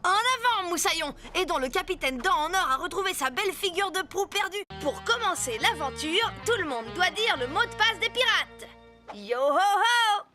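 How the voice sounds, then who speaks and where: A woman's voice: very high-pitched, animated, normal speed. A woman voicing a brave, eager young boy pirate who leads his crew in a French children's cartoon. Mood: rallying. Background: background music.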